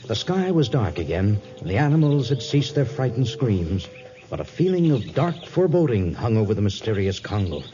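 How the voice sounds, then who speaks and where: A man announcing and narrating a 1950s radio drama in a dramatic, portentous, scripted manner. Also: mid-range voice. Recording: dull lo-fi 1950s radio recording. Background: faint music.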